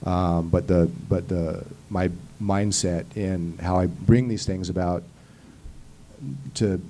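A man in his thirties talks at a conference panel, his voice deep and mellow. He rambles earnestly with plenty of fillers and restarts, into a handheld mic with a faint hum behind. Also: steady tone, slow. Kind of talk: casual panel talk. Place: conference hall panel table. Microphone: handheld mic on stand.

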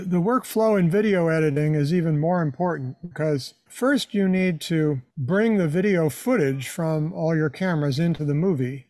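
Older guy doing a casual how-to, kinda high voice, chatty explaining, no room echo. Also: darkish tone. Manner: conversational, explanatory. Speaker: presenter, hobbyist teaching video editing. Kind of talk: informal live tutorial.

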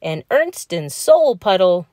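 A woman's voice: fairly low pitch, big pitch swings, slow, warm tone, dry recording, studio quality, full character voice. A woman voicing an earnest, stubborn, dreamy young male crocodile from a children's storybook, with emphatic delivery. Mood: stubborn, proud.

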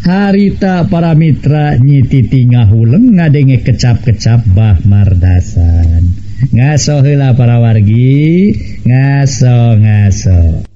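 Mellow Sundanese male storyteller. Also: mid-range voice, animated, takes it slowly, highs cut off, a little hiss or hum. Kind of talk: folk tale narration, addressing listeners.

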